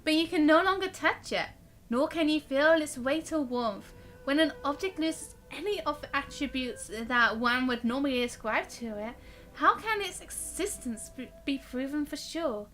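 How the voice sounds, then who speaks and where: A woman game streamer giving live commentary and reading game text aloud in a chatty, expressive way. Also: high voice, moderate pace. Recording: game music behind the voice. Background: game music.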